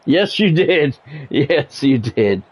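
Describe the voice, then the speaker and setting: A man's voice: on the high side, sing-song, slow, husky. A man doing sports play-by-play commentary in short announcer-style phrases, mixed with casual chat to his viewers. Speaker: live streamer and play-by-play commentator.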